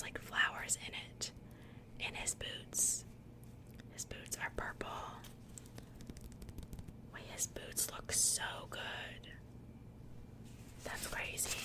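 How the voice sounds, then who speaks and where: A woman speaking in a soft, breathy whisper, chatting casually and unhurriedly in the style of an ASMR unboxing. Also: low-quality recording. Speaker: ASMR video creator.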